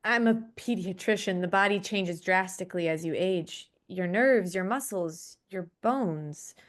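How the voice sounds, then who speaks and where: A woman's voice: lowish voice, a little slow, lightly acted. A woman playing a guarded, clinical middle-aged pediatrician in a script table read. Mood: skeptical, clinical.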